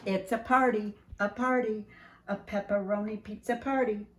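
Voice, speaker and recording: An older woman reading a picture book aloud to young children in an animated, sing-song way, speaking right to them. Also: medium pitch, normal speed, echoey room, slight background noise. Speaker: preschool staff storyteller reading to children.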